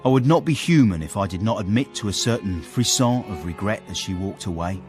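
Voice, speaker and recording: Low, expressive, formal English gentleman an actor. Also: fairly quick, over music, lightly acted. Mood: wry, self-satisfied. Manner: formal, self-important. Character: proud, self-made Victorian gentleman, stiff, secretly smitten narrator. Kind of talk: period radio drama, narration and dialogue.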